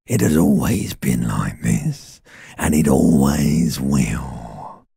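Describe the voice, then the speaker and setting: A man voicing an ancient reptilian brain in a video game, a seductive, menacing, world-weary inner voice with a guttural rasp and sardonic crooning. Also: rumbling low, expressive, warm tone, exaggerated voice. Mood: dismissive, mocking. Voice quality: gravelly, raspy, guttural croak. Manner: slow, drawn-out, mock-soothing, sardonic crooning. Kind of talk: theatrical video game voice acting.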